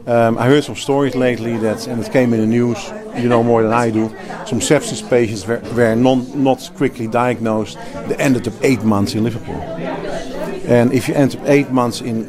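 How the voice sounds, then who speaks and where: Dutch-accented executive, mid-pitched, emphatic interview answers, recorded amid a noisy crowd. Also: male voice. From Netherlands. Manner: animated, emphatic, restarts often, laughs easily. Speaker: healthcare company executive and clinician.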